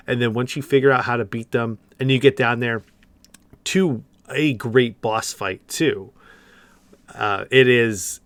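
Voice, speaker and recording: A man's voice: medium pitch, a bit bright, dry recording. A man hosting a podcast, chatting informally and conversationally about video games. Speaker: podcast host. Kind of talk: casual video game discussion.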